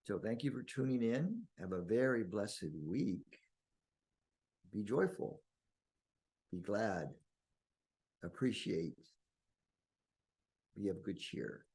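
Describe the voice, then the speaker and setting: Guy doing guided meditation, really slow w long pauses, husky voice. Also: on the low side, animated, darkish tone. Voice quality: husky, gravelly.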